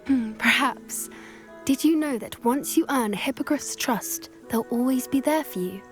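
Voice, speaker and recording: An earnest British teenage schoolgirl from a fantasy video game, fond of creatures and a little secretive. Her voice is gentle and on the high side. Clear game music plays behind her. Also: unhurried. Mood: earnest, worried. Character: earnest, secretive teenage schoolgirl who loves magical beasts.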